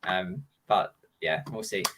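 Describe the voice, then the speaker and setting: A man's voice: low voice, takes it slowly, fairly bright tone, slight background noise. A man co-hosting a casual prediction show, thinking aloud in a hesitant way. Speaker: show co-host. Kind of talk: casual chat about match predictions.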